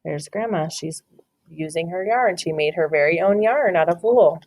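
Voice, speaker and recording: A woman's voice: on the low side, moderate pace, a little mellow. A woman teacher reading a picture book aloud to children, encouraging them and asking questions about the pictures.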